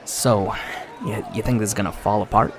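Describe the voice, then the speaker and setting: Man acting gravelly, needling TV reporter. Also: fairly low pitch, steady tone, normal speed, lightly acted. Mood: goading. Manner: dry, goading. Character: needling, cynical male TV reporter. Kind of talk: broadcast interview in audio drama. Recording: crowd murmur behind.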